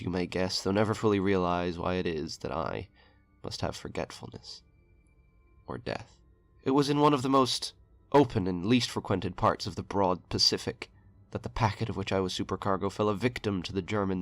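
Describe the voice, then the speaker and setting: Deep gravelly man reading a horror tale. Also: dry recording. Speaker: narrator. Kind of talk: reading a horror short story aloud.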